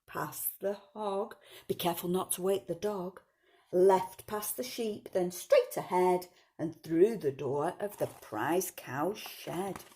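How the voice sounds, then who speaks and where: Woman playing a slow, hushed, sneaky thief. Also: fairly low pitch. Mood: scheming. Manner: hushed, conspiratorial, drawn-out rhyme. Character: sneaky male cattle thief plotting a night raid. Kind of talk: children's storybook read aloud.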